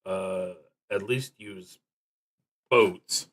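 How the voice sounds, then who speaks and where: A man streaming a solo roleplaying game, thinking aloud and reading prompts in a halting, deliberative manner, sometimes murmuring to himself. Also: low voice.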